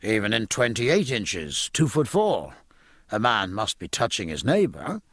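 Learned gentleman landsman performed by a male narrator, formal, curious, precise, animated pitch with a slightly husky grain. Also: measured pace, no echo, clean studio sound, heavily acted. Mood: incredulous. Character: inquisitive, learned gentleman, a puzzled landsman aboard ship. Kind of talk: audiobook character acting.

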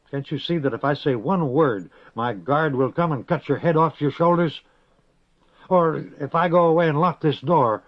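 A man's voice: a little high, no room sound, pitched above the performer's own voice, natural voice. A man voicing a proud, fierce young priestess who talks scornfully and defiantly, in a storybook reading. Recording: dull lo-fi recording.

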